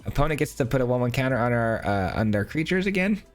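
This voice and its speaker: Hoarse man chatting through a card game. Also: medium pitch, sing-song, fast talker, faint music behind. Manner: chatty, upbeat, thinking aloud. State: hoarse, losing his voice. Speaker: gaming channel host. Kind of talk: casual live gameplay commentary.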